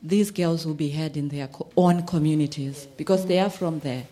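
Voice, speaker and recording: A woman's voice: deep voice, moderate pace, mellow. A woman in the audience offering a spontaneous, encouraging comment at a public discussion. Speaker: audience member.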